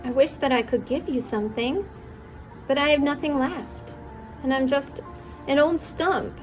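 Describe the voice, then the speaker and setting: A woman voicing a gentle, loving, self-giving old female tree in a tender, expressive storybook manner. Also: sits high, a little slow, soft background music, pitched above the performer's own voice, rough recording. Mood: sorrowful.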